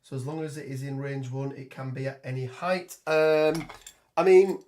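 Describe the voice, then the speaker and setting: A man with a hoarse voice from a cold, chatting casually on a live stream while building terrain, with lots of ums and restarts. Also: medium pitch, steady tone, normal speed, darkish tone. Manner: rambling, many ums and restarts, reads chat aloud. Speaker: tabletop gaming streamer.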